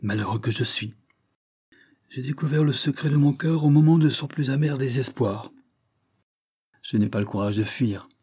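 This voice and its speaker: A man voicing a reserved lord in anguish, speaking in a hushed, despairing way. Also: medium pitch, breathy edge, dry recording, studio quality, natural voice. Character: anguished, lovesick older brother, a reserved lord.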